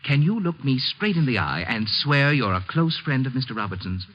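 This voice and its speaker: An actor playing a fussy, officious hotel desk clerk in a 1950s radio drama, prim, guarded and condescending in a mock-polite way. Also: male voice, medium pitch, big pitch swings, normal speed. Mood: haughty, sceptical. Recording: muffled old 1950s radio broadcast, faint noise.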